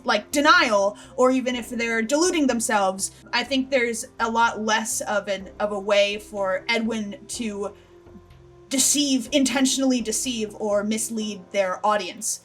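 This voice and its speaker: A woman's voice: a little high, a bit bright. A woman talking casually in a panel discussion, as a writer and actor on a show.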